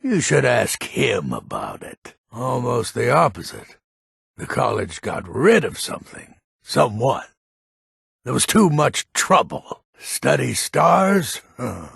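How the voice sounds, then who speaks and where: Gravelly, grumpy old fantasy man. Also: medium pitch, wildly swooping pitch, slow pace, a bit bright, dry recording, clean studio sound, heavily acted. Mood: secretive, grave. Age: older. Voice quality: gravelly, raspy. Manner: gruff, theatrical, emphatic. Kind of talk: fantasy video game character acting.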